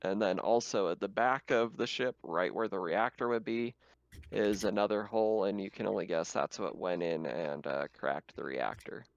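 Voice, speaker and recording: Male game master, smooth and resonant, improvising explanatory tabletop narration with hesitations, on a slightly dull-sounding mic. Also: lowish voice, moderate pace.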